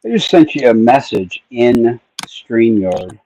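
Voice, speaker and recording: A man's voice: mid-range voice, big pitch swings, slow pace, dark tone, slight background noise, rough recording. A man co-hosting a livestream, chatting casually, playful and jokey. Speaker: livestream co-host. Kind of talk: casual chat.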